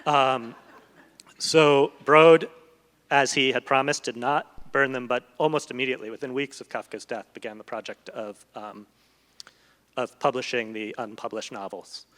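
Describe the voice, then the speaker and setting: A man speaking as a guest translator in a literary discussion, explaining thoughtfully in long, halting sentences. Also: mid-range voice, fairly even intonation, a bit bright. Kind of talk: literary discussion before an audience. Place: large event hall, live public talk. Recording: echoey hall with long reverb.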